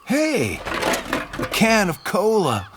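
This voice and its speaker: A woman's voice: medium pitch, huge pitch swings, extremely slow, background noise, heavily acted. A woman voicing a goofy, bumbling zoo worker with silly muttering in a children's audio drama. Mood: puzzled, pleased.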